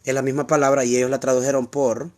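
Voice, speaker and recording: A man's voice: medium pitch, little pitch movement, fast talker, darkish tone. A man with a Honduran Spanish accent teaching the Bible in an informal, explanatory way, repeating key words and asking rhetorical questions. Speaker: preacher teaching scripture.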